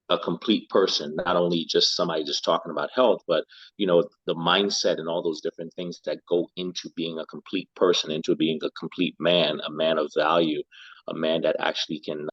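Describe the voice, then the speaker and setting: A man's voice: low voice, fairly bright tone, slightly rough, no echo, highs cut off. A middle-aged man from Liberia, a health and lifestyle coach, answering interview questions thoughtfully and often repeating words as he searches for them.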